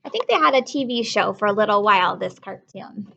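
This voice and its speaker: A woman's voice: mid-range voice, lively intonation, fairly quick, vocal fry, bright, forceful tone, muffled recording. A woman teacher giving a read-aloud lesson for children, explaining words simply and repeating key points.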